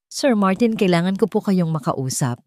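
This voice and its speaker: A woman's voice: mid-range voice, animated, moderate pace, a little mellow, no echo, natural voice. A woman with a Filipino accent voicing an anxious, deferential nanny in an audio story, polite but firm.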